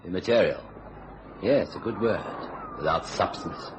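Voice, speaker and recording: A man's voice: sits low, unhurried. An actor playing a courteous, curious English writer in a radio thriller, a well-spoken man with a polite, musing manner. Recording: noisy radio drama recording.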